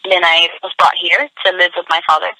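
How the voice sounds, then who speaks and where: A woman's voice: fairly low pitch, flat delivery, a little fast, bright, forceful tone, no echo, rough recording. A young woman from Venezuela whose first language is Spanish, a wrestler talking frankly about her life in a casual interview and laughing often. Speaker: professional wrestler, guest.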